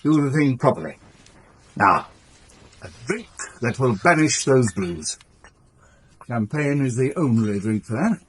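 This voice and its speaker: An actor playing a smooth-talking, evasive married lover pleading with his mistress in a radio drama, stammering when pressed. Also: mid-range voice, animated, unhurried, pauses often, slight background noise, natural voice. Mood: smooth, attentive.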